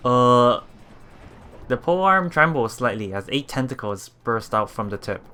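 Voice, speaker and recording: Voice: mid-range voice, animated, measured pace, bright, forceful tone, slight background noise. A let's play streamer reading game text aloud with casual reactions, often stumbling over words and repeating them.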